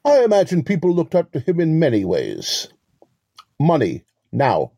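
An actor in a mystery audio play, voicing a wily relic con artist who talks in a measured, formal, condescending way. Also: male voice, a little high, huge pitch swings, creaky, dark tone, no echo, full character voice. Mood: stern, pious.

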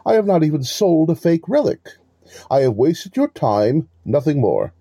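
A sly male swindler in a mystery audio play, acted by a man. His voice is very dark and husky, and it swings freely in pitch. He speaks with measured, formal condescension, as if always holding something back. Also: sing-song, no echo, clean studio sound, full character voice. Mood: smug, scornful. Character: wily, devout-acting male relic con artist.

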